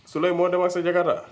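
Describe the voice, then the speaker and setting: A man with a Senegalese accent acting a teasing pal in a radio play. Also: sits high. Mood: mocking. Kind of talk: radio theatre dialogue in Wolof.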